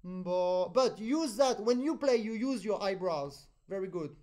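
A man with a French accent, a native French speaker, teaching an accordion master class over a video call, animated and pausing deliberately between phrases. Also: high voice. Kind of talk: online master class instruction.

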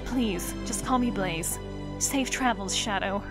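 A woman's voice: mid-range voice, takes it slowly, loud music behind the voice, close to an everyday voice. A woman playing a proud, protective young cat princess with a fiery temper in a comic dub, formal and commanding. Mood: gracious. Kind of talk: scripted comic dub acting.

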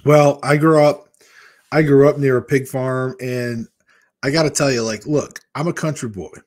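A man in his fifties, originally from Michigan, chats casually and candidly with his livestream viewers. His tone is mid-pitched and relaxed, and he wanders from story to story while gesturing. He speaks close to a desk microphone in a small room at home. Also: normal speed. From Michigan, USA. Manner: candid, rambling, talks with his hands. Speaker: weight-loss vlogger hosting a live chat. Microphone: large desk microphone in front of a webcam.

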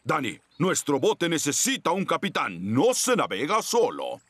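A man's voice: fairly high pitch, wildly swooping pitch, unhurried, a bit bright, husky, no echo, heavily acted. An actor with a Latin American Spanish accent playing a jovial dog sea captain and doting father in a children's cartoon, with hearty, theatrical exclamations. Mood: proud, cheerful. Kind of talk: dubbed children's cartoon acting.

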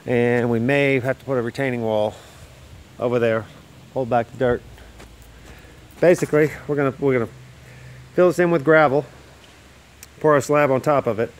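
A man's voice: mid-range voice, fairly even intonation, normal speed, pauses often. A middle-aged home builder filming a casual, plain-spoken walkthrough of a construction site outdoors on a handheld phone, explaining as he goes with fillers and restarts. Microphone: handheld phone camera.

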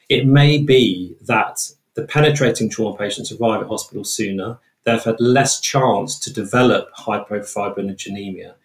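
A British man, a trauma researcher, explaining findings in an interview in a measured, technical way, with a roomy sound. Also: lowish voice.

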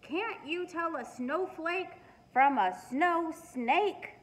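A woman voicing a bossy, eager little girl who exclaims loudly in a storybook. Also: very high voice, lively intonation, big-room sound, voice pushed up, full character voice. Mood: scolding. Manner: loud, drawn-out exclaiming.

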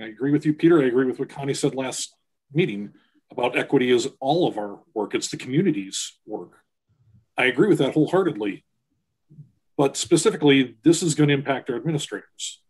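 A man's voice: medium pitch, husky, low-quality recording. A man on a school board, asking questions in a meeting with hesitant restarts, heard over a video call. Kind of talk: meeting discussion.

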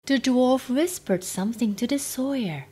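A woman narrating a children's fairytale as storyteller, expressive and deliberate, with faint noise. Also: sits high.

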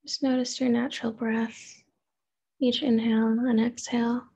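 A woman therapist leading a guided meditation in a gentle, unhurried manner, with pauses and open questions. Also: a little high, flat delivery, breathy edge, muffled recording.

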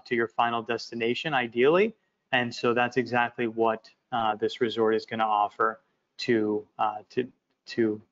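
A man in a middle register presents an investment project over slides. He talks in a halting, filler-heavy way and often repeats words. The audio is slightly muffled and lo-fi. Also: mid-range voice, fairly bright tone. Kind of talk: walking through presentation slides.

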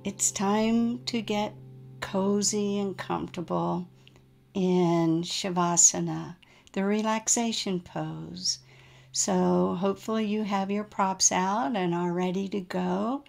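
A woman guiding a relaxation meditation as a yoga nidra teacher, in a gentle, soothing manner with unhurried pauses. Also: medium pitch, fairly even intonation, creaky, faint music behind.

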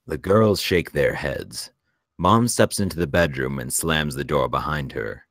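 A man's voice: extremely low pitch, a little slow, fairly bright tone, no echo, clean studio sound. A man reading screenplay stage directions as narrator at a table read.

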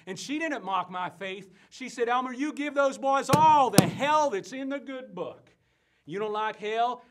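Conman revival preacher, high-pitched male voice, fiery, bombastic, folksy, exhortatory, theatrical stage sermon. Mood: earnest testimony. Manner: bombastic, exhortatory, folksy, swinging from hushed confiding to shouting. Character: fiery conman revivalist preacher crusading against booze and sin. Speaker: stage actor.

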